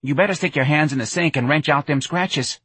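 A man putting on a high, plaintive, nagging old mother. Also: brisk, fairly bright tone, breathy edge, dry recording. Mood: nagging, suspicious. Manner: folksy, ungrammatical, whining speech. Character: plaintive, nagging, suspicious working-class older mother.

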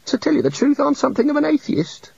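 A timid, mournful god who frets over his own beard and powers, swooping up high and down again in a thin, fussy voice, as a male narrator puts him on. The recording is dry and a little dull. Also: very animated, fast, creaky, a little mellow, no room sound, exaggerated voice. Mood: gloomy. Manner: sad, fussy, querulous. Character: meek, gloomy male island god, bearded. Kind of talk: audiobook character reading.

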